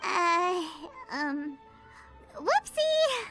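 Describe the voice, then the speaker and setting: A tiny, cheerful fairy sidekick from an anime game. The voice is piercingly high and bright, with a squeaky childlike edge. It swoops around in exaggerated cartoon fashion. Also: female voice, very animated, drawn-out words, soft background music, heavily acted. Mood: sheepish. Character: small cheerful childlike floating fairy companion. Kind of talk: anime video game voice acting.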